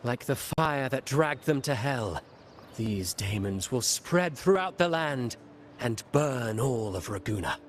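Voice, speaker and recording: An actor voicing a theatrical, scheming male playwright in a game cutscene, telling a dark tale with teasing, dramatic flair. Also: mid-range voice, expressive, takes it slowly, slight background noise. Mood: sly. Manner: dramatic, teasing, mysterious storytelling. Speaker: game character.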